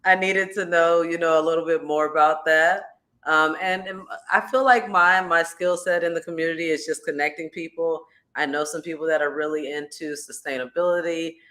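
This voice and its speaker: A male host with a fairly high voice eases into an introduction. He rambles a little and keeps filling gaps with "um" and "you know". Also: fairly even intonation, normal speed, sharp tone. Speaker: stream show host. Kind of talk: casual stream interview.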